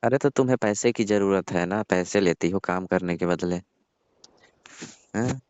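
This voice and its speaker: Comic phone skit: brash, dismissive young male boss, played by a man, very deep voice, dry muffled recording. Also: normal speed, darkish tone, clean tone, no echo, lightly acted. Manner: pushy, dismissive, teasing. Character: brash young rich male employer, smug and suggestive. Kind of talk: staged comic phone call skit, colloquial dialogue.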